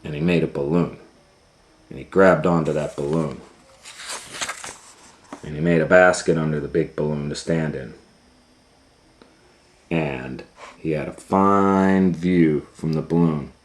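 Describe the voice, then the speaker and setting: A man's voice: a bass voice, steady tone, a little slow, pauses often. A man reading a children's storybook aloud as a storytime host, retelling it informally with musing asides. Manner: improvised asides and musing hums.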